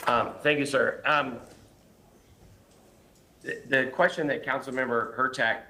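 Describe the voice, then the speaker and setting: A man's voice: mid-range voice, steady tone, a little slow, sharp tone, a little hiss or hum. A man on a city council arguing against a project at a public meeting, earnest and insistent with frequent restarts, losing his voice.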